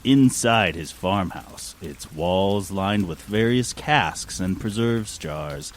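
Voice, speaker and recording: A man's voice: deep voice, animated, slow pace. A man narrating a scripted radio play in a mock-serious storytelling manner. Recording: clear rain noise behind. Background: falling rain.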